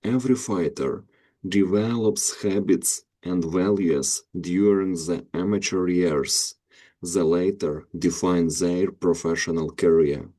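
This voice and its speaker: A man hosting his channel, reading prepared interview questions slowly and carefully. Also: low voice, mellow.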